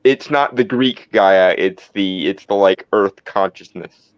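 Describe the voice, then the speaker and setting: A man's voice: low-pitched, slow pace, smooth, no room sound. Dull, dry-sounding man explaining casually. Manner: explanatory, corrective, stammering restarts. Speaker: roleplay game player. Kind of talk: casual group chat.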